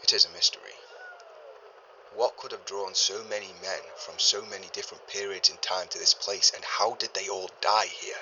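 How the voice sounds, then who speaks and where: An actor playing a learned 1930s archaeologist, a man recording a formal, deliberate journal in grave and uneasy tones for an audio drama. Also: sits low, fairly even intonation, bright, forceful tone, lo-fi sound, slight background noise. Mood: haunted, pensive. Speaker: expedition leader keeping a recorded log. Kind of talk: scripted audio drama monologue, spoken journal.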